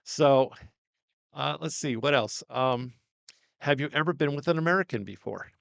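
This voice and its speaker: Loud, gravelly older man hosting an interview. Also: mid-range voice, moderate pace, no room sound. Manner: loud, coaxing, chatty. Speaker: host. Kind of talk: casual interview. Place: small home studio. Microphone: directional studio mic.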